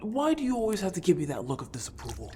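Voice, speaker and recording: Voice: medium pitch, wildly swooping pitch, racing, warm tone, a little hiss or hum. An actor playing an upbeat, bold young merfolk scout in an audio story, chatty, casual and playfully defensive. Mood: playful, exasperated. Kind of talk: audio story character acting.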